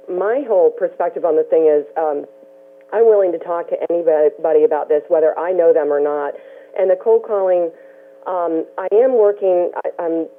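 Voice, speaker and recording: A hoarse man with a head cold, calling in and speaking with many ums. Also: fairly high pitch. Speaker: caller. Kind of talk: phone-in conference call talk. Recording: tinny phone line.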